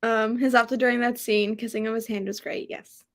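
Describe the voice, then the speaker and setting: Higher-voiced woman in casual book chat. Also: flat delivery, brisk, a bit bright. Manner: agreeable, reacting and affirming. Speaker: book discussion co-host.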